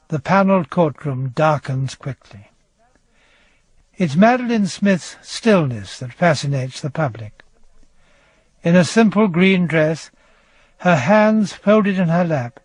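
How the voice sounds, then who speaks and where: A man narrating a true-crime trial drama in a grave, atmospheric, scripted style with a husky voice. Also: a little high, no echo, exaggerated voice.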